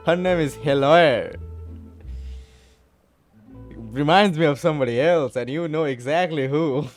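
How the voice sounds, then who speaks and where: A man's voice: fairly high pitch, measured pace. A man giving casual, rambling playthrough commentary as a gaming streamer, with murmured asides. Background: game music.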